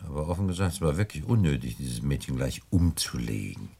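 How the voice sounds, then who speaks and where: An older gangland boss with a very low voice. He is suave and patronizing, avuncular and polite, and likes to repeat himself in the manner of a 1960s German radio crime drama. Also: very animated, a little fast, creaky, dark tone, breathy edge, exaggerated voice. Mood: mild reproach.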